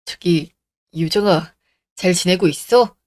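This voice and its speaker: A woman's voice: on the low side, slow, a little mellow, dry recording, voice pushed down. A woman plays a coddled young fiancé in a radio drama. He pleads and blames in a whiny, self-pitying way, recorded close and dry. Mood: hesitant. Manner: pleading, blaming.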